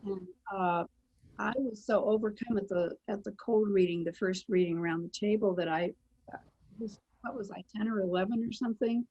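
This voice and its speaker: A woman's voice: mid-range voice, moderate pace, warm tone, breathy edge, dull audio. An older American woman, a former performer, reminiscing in a panel interview and searching for her memories. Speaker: panel guest, former child radio actress.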